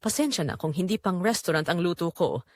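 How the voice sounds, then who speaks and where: A woman's voice: fairly low pitch, lively intonation, brisk, dry recording, clean studio sound. A woman with a Filipino accent voicing a shy, hesitant working man in an audio romance story, reserved and awkward. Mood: modest, reserved.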